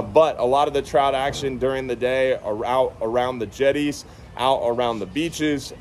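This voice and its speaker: A man's voice: medium pitch, a little hiss or hum. A man in his thirties hosting a casual fishing report outdoors on a beach, speaking into a phone camera at arm's length, upbeat and repeating words for emphasis. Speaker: marina fishing report host. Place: outdoors on a sunny beach by a bridge.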